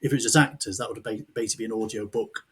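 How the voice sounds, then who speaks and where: A man's voice: lowish voice, racing, husky. A man hosting a casual interview, with a wry, self-deprecating manner. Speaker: podcast host.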